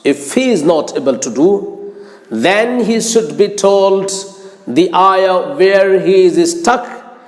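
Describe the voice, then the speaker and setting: Male religious lecturer, high voice with lively swings, emphatic and instructive. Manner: deliberate, emphatic, repeats phrases. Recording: long hall-like reverb.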